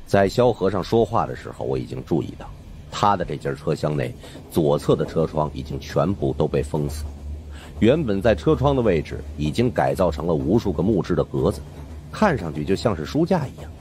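音调极低的男声悬疑小说播讲. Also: male voice, extremely low pitch, very animated, fairly quick, a little mellow, slight background noise. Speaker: audiobook narrator. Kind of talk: dramatic scripted narration of a supernatural suspense novel.

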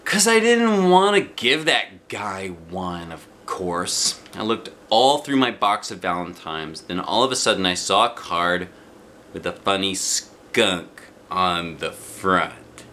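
A middle-aged man narrates a children's book in a living room. His pitch is lively and swooping, with theatrical, playful emphasis. The tone is bright, with faint noise in the background. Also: slow pace. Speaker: storyteller reading a children's book. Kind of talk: expressive read-aloud narration. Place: small living room, indoors.

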